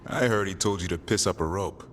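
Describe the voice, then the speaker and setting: An actor playing a grave, dry-witted adviser in a fantasy video game, speaking matter-of-factly. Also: male voice, low-pitched, brisk, a bit bright, slight background noise.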